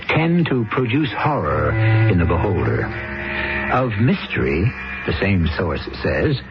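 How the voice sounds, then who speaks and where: A man hosts a vintage mystery radio program in a measured, foreboding tone. His low voice swoops widely, and loud music plays beneath it. The broadcast sounds dull and lo-fi. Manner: measured, ominous, deliberate. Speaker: host. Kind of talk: dramatic radio introduction.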